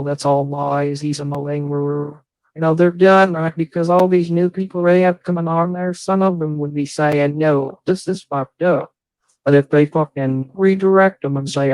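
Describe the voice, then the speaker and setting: Halting, rambling man with dry, close sound. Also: a little high, darkish tone, clean tone, dry recording, poor audio. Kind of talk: casual live chat.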